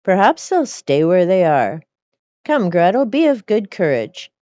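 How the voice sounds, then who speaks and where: Merry, sly cook, voiced by a woman, animated. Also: fairly low pitch, measured pace, no room sound, lo-fi sound. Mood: tempted, self-justifying. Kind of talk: storybook character reading.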